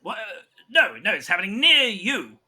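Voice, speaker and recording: A man's voice: high-pitched, very animated, normal speed, pushed and bright, slightly rough, full character voice. A man playing a brash, self-important surgeon in an improvised comedy medical drama, with a theatrical, bossy delivery. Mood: flustered, bluffing. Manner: barking orders, theatrical.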